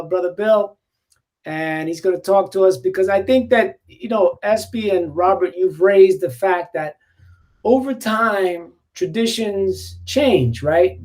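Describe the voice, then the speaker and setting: High-voiced man hosting a video call. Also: a little mellow. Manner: upbeat, joking while fixing tech trouble. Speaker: host of an online community show.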